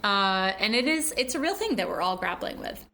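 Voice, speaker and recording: A woman's voice: mid-range voice, sing-song, a little fast, sharp tone, a little hiss or hum. A woman in her forties hosting a podcast, talking in a frank, emphatic way with casual swearing. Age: 40s. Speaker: podcast host. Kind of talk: casual chat.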